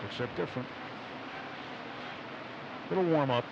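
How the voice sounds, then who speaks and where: A man's voice: fairly high pitch, measured pace, creaky. A man giving conversational baseball commentary as a radio co-commentator. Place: open broadcast booth at outdoor ballpark. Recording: muffled radio broadcast, loud outdoor noise. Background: loud stadium ambience.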